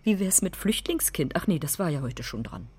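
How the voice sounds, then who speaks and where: A woman's voice: low voice, big pitch swings, fairly quick, mellow, slightly rough, heavily acted. A woman playing an indignant mother in a children's radio play, lecturing with reproachful emphasis. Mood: bitter, emphatic.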